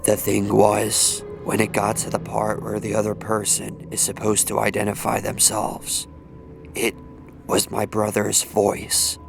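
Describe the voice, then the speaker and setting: A man narrating as an unnerved college student telling a creepy story, in a hushed, deliberate, ominous manner. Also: on the low side, monotone, vocal fry, over music, pitched below the performer's own voice. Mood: ominous, uneasy. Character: unnerved young male college student recounting a creepy night. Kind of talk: slow scary-story narration.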